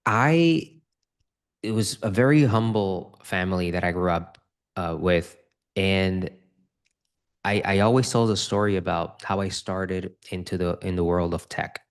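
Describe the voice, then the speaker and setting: Deep-voiced man. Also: measured pace, smooth. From Dominican Republic. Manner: earnest, repeats words when starting sentences. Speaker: guest, real estate investor. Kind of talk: casual interview conversation.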